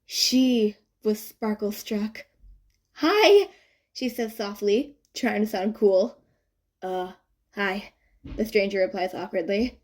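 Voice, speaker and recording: A woman narrating a written story in an expressive, informal and wry way. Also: mid-range voice, unhurried. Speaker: fan fiction narrator.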